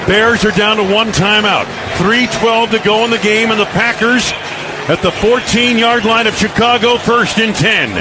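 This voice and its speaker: A man calling live football play-by-play for radio in punchy, clipped phrases over a noisy stadium broadcast feed. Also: high voice. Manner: punchy clipped phrases, building excitement on plays. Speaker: play-by-play announcer.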